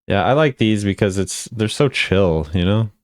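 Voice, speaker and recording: Low-voiced man, lively casual commentary. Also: moderate pace, no room sound, studio quality. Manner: repeats phrases for emphasis. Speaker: video creator ranking game voice lines.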